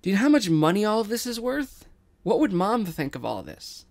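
A man's voice: high voice, sing-song, brisk, creaky, a little mellow, no echo, full character voice. A man playing an exasperated, money-minded son berating his father in a science fiction audio drama. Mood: indignant. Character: indignant, scolding son of a scavenger, money-minded.

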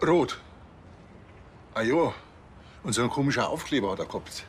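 An actor playing a chatty apartment-block caretaker in a crime comedy, colloquial and rambling, with a Bavarian accent. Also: male voice, medium pitch, animated, moderate pace, a little hiss or hum, natural voice. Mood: helpful, gossipy.

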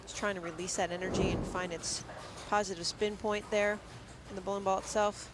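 A woman doing color commentary on a bowling match, explaining technique in steady, instructive detail. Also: mid-range voice, fairly quick, background noise. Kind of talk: analytical sports commentary. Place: commentary booth at a bowling arena.

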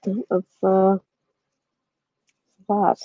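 A woman in her thirties chats casually about a book, rambling a little as she thinks aloud. Her pitch sits in the middle, and the sound is close and dry, slightly dull like a video call. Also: monotone, very slow, dry recording. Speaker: book club livestream host. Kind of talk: casual book discussion chat. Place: indoor room at home, on a video call.

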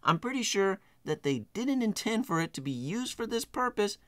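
Animated man hosting a casual tutorial. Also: sits high, moderate pace, no echo. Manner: tongue-in-cheek, playfully exaggerated. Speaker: tutorial host. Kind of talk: casual screen-share software tutorial.

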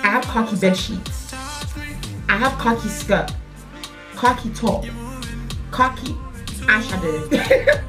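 A woman's voice: a little high, slow, long pauses, music in the background. Chatty young woman vlogging at home. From England. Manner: chatty, playful, animated with hand gestures. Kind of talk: casual chat to camera. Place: living room, indoors. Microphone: camera at desk distance.

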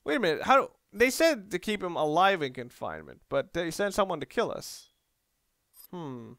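Man, higher-pitched, smooth clear tone, casual gaming commentary, rambling, thinks aloud. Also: sing-song, moderate pace, fairly bright tone, no room sound, clean studio sound. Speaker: let's play host.

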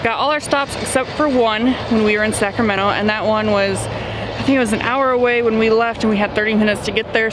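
A woman's voice: on the high side, noisy background. Chatty woman vlogging outdoors. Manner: chatty, rambling, upbeat. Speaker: truck driver vlogger. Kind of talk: casual vlog chat. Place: outdoors by a truck in open dry field. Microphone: phone camera held close.

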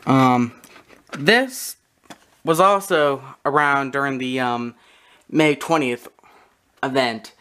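A person giving a casual, halting, matter-of-fact show-and-tell narration, recorded on a handheld camera in a room at home. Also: mid-range voice, a bit bright, clear, resonant tone. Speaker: video creator showing a radio collection. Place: small room at home, indoors. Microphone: handheld camera close by.

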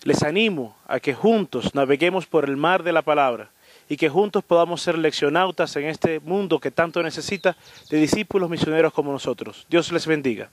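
A man's voice: fairly high pitch, fairly quick, dry recording. A young man with a Dominican accent giving a short motivational greeting as a delegate outdoors. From Dominican Republic. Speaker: youth delegate. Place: outdoors in a garden courtyard.

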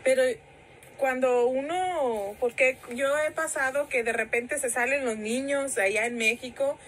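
A woman's voice: high-pitched, steady tone, measured pace, a bit bright, a little hiss or hum, rough recording. A woman vlogger filming on a residential sidewalk and chatting with a neighbor, agreeing with short replies. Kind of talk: casual chat.

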